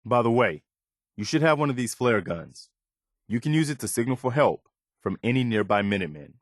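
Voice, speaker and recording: An actor playing an earnest, steadfast but weary militia officer in a video game, sincere and plainspoken. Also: male voice, mid-range voice, animated, fairly quick, no room sound, clean studio sound, close to an everyday voice. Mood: earnest, steady. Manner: sincere, plainspoken, morally upright. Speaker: game companion character.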